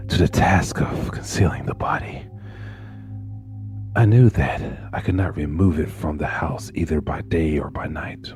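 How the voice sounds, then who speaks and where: A man's voice: deep voice, full character voice. A man playing a tormented, brooding murderer in a theatrical Gothic horror monologue. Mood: cold, calculating. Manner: theatrical, swings between breathy whispers and furious outbursts. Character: tormented, brooding murderer confessing with growing madness.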